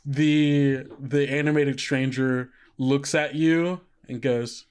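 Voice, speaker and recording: A game master narrating a tabletop roleplaying game in relaxed, hesitant speech full of fillers. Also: mid-range voice, slow, smooth.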